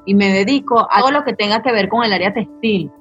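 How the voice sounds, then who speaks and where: A woman's voice: mid-range voice, fast talker, a bit bright, breathy edge, highs cut off, soft background music. A woman, a textile workshop owner giving a plain, matter-of-fact interview. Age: 30s.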